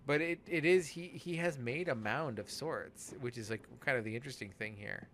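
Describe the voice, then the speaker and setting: A man chatting casually as he commentates on a game, halting and often trailing off mid-thought. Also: medium pitch, a bit bright, a little hiss or hum. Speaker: let's play podcast host.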